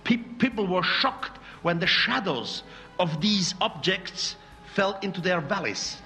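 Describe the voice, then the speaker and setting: A man with a Swiss German accent gives a lecture, his voice fairly high and very animated as he works through his English with small slips. The sound carries a long hall reverb, with faint music underneath. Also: measured pace. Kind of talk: public lecture.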